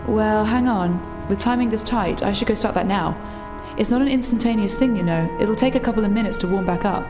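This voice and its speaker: A woman playing a calm, practical technician in a tabletop roleplay, explaining things matter-of-factly. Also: mid-range voice, brisk, music in the background, lightly acted.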